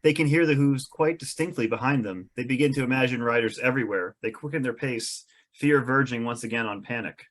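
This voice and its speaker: A man's voice: medium pitch, brisk, few pauses, a bit bright. A man reading scene descriptions as the narrator of a script table read.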